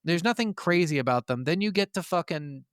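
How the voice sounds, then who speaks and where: A male podcast co-host with a fairly high, clean voice, recorded close and dry. He chats casually about games with real enthusiasm and swears freely as he goes. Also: expressive, a little fast, fairly bright tone, smooth, no echo. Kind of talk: casual gaming podcast chat.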